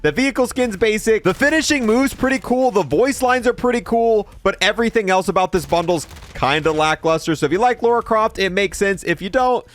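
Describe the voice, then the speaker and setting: A man's voice: high-pitched, expressive, brisk, hardly any pauses. A man giving casual gameplay commentary as the host of a video game review.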